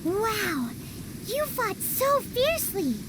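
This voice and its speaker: A woman voicing a tiny, excitable, cheeky fairy companion girl in a video game, squeaky and childlike. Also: slow, very noisy, exaggerated voice. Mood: excited. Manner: exclamatory, cheeky.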